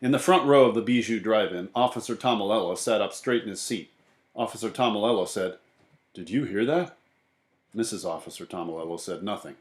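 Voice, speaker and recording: A man narrating a children's book as an animated storybook reader. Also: fairly low pitch, fast. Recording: roomy, echoey sound.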